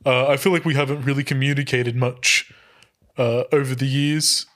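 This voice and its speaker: A man's voice: medium pitch, steady tone, moderate pace, a bit bright. A man playing a tabletop game, casually improvising what his character does in a hesitant, rambling, amused way. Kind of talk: casual improvised roleplay chat.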